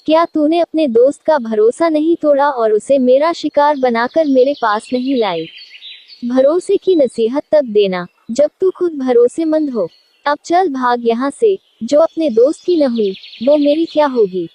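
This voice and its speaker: A woman's voice: high voice, expressive, unhurried, dark tone. A woman voicing a scheming old male lion with a commanding, scolding manner in a storybook tale.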